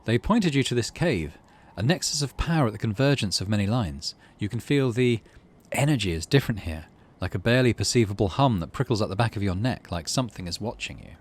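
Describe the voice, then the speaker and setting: Low-pitched man with very lively intonation. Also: a little fast, hardly any pauses, breathy edge. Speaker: gaming video host. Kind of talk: game let's play, reading narration aloud with casual asides.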